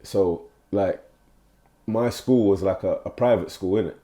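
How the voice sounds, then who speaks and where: Young guy from nigeria hosting a podcast chat, lowish mellow voice, keeps restarting his sentences. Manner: halting, repeats words when starting a sentence. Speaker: podcast host. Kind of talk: casual chat.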